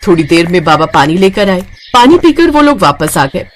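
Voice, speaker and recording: A woman's voice: on the low side, big pitch swings, moderate pace. A woman storyteller reading scripted narration for a moral tale.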